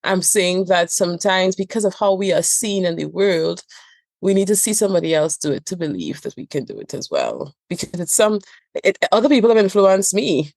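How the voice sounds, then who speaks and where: A woman's voice: lowish voice, very animated, fairly quick, a little mellow, no room sound. A woman from Trinidad and Tobago with a Trinidadian accent, speaking as a therapist in a podcast interview, earnest and emphatic, repeating phrases for stress. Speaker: couples therapist and trainer. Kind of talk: reflective podcast interview talk.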